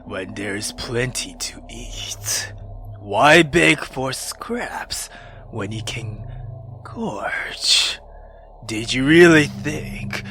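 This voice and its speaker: A man voicing a menacing, contemptuous monster from a horror visual novel, in a hushed, breathy, theatrical way. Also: a little high, pitched below the performer's own voice, full character voice. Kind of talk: dramatic visual novel reading.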